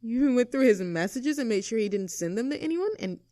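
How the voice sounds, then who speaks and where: A woman playing a sarcastic, standoffish young mean girl with a soft side in an audio roleplay, talking in a casual, teasing, blunt way. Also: on the high side, expressive, quick pace, no room sound, clean studio sound, close to an everyday voice. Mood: excited. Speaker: voice actor. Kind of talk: scripted audio roleplay, naturalistic one-sided dialogue.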